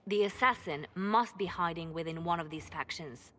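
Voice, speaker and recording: A woman's voice: fairly low pitch, moderate pace, bright, forceful tone, slight background noise. An actress playing a cool, secretive woman spymaster in a video game, controlled, terse and serious. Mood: cool, businesslike.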